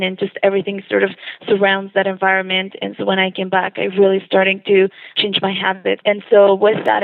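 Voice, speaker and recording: A woman's voice: medium pitch, no room sound. A woman from Colombia, a food blogger talking enthusiastically as a guest in a phone interview, often laughing. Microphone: phone line. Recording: tinny dry phone line.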